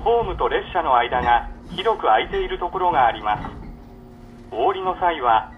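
A man's voice: expressive, moderate pace, highs cut off. A man reading scripted, polite and formal safety announcements on a train. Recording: very noisy recording inside moving train. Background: train rumble and noise.

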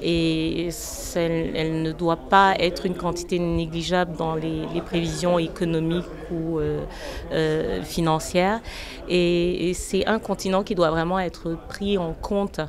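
A woman in her thirties answering an interview as an event participant, a bit hesitant and repeating words. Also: on the low side. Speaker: convention participant. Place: hotel conference hall, indoors. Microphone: handheld reporter microphone. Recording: busy crowd chatter behind.

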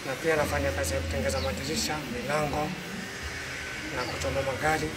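A man's voice: medium pitch, level tone, fast talker. A man in his thirties with a Kenyan accent speaks in short, plain statements in an interview. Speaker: welder. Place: outdoors by cars and stone wall. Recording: loud outdoor street noise, distant mic.

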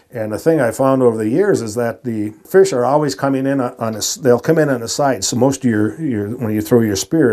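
An older man, explaining spear fishing in a dark ice shack, with many restarts and fillers. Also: mid-range voice. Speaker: spear fisherman and decoy carver. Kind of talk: casual explaining on location.

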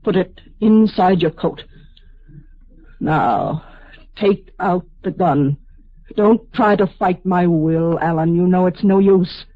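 A woman's voice: fairly low pitch, slow, dry recording. An actress playing an anguished, resolute telepathic woman confronting her lover in a vintage American radio drama. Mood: grim command. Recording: dull, band-limited old radio recording.

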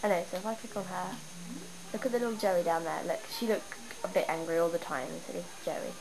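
A woman's voice: on the low side, fast. Chatty girl with a stuffy cold. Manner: chatty, rambling, excitable. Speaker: gamer showing her town. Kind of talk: casual game walkthrough. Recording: noisy home camera recording. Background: steady background noise.

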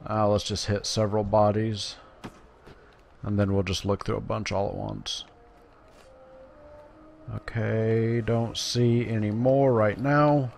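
Male gamer, lowish voice, wry offhand commentary, faint game noise behind. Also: steady tone, takes it slowly, creaky, pauses often, darkish tone. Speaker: gamer narrating gameplay. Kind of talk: casual gameplay commentary.

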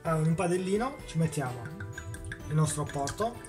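A man's voice: on the high side, animated, a little fast, music in the background. A man hosting a cooking demonstration in a home kitchen, explaining each step and answering his own questions. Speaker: cooking show host.

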